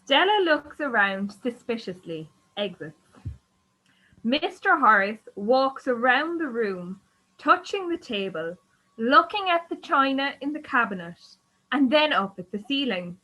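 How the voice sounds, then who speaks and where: High, bright, slow female narrator. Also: big pitch swings, poor audio. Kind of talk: scripted narration of stage directions.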